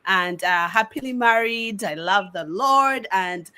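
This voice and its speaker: A woman from Uganda, a guest on a live video call chat, excitable and gushing. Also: mid-range voice, a little slow, clean tone.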